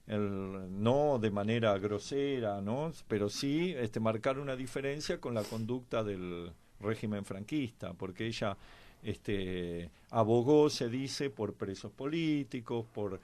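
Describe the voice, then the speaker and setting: A man's voice: fairly low pitch, slow pace, a little mellow. An Argentine man discussing history conversationally as a panelist, digressing and adding tag questions.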